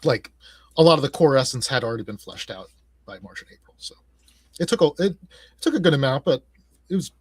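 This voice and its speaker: A man speaking as an interview guest, casually explaining his work and thinking aloud in halting phrases. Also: mid-range voice, big pitch swings, slightly rough, no echo. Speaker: game designer, interview guest.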